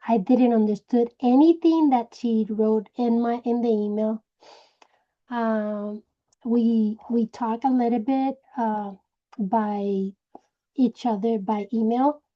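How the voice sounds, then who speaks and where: A woman from Puerto Rico with a Puerto Rican Spanish accent, Spanish her first language, telling her personal story slowly and searching for words. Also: on the high side, fairly even intonation, muffled recording. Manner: slow, searching for words, many restarts. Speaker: direct sales director. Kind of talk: personal story told to a group.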